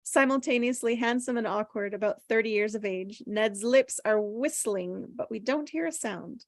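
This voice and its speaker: A woman's voice: on the high side, normal speed, dry recording, clean studio sound. A woman reading screenplay stage directions aloud as scripted narration at a table read.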